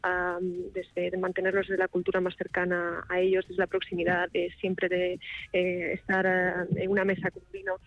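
Flat-toned woman, muffled. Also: on the low side, normal speed, dry recording. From Galicia, Spain. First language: Galician. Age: 30s. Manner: thoughtful, restarts often, even delivery. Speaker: sommelier interviewee. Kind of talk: radio interview answers.

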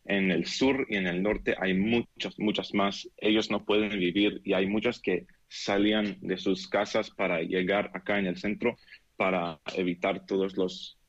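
Deep-voiced young Israeli man, dull audio. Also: fairly even intonation, dry recording. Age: 20s. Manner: halting non-native speech, searching for words. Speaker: interviewee giving an eyewitness account. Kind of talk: unscripted interview. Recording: dull, band-limited remote call audio.

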